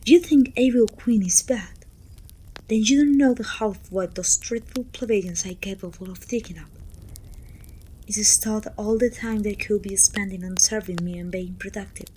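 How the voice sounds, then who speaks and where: A woman playing a pompous, self-pitying evil queen who talks down to her servant in an intimate, breathy ASMR roleplay, often dropping toward a whisper. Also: mid-range voice, normal speed, warm tone, slight background noise, lightly acted, poor audio. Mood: exasperated. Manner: pompous, petulant, theatrical sighing complaints.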